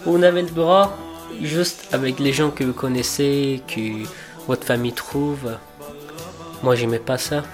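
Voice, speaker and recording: A man's voice: medium pitch, fairly even intonation, music in the background. Young man, reflective cook telling his story. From Afghanistan. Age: 20s. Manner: reflective, unhurried, with searching pauses and restarts. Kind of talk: personal storytelling for a documentary portrait.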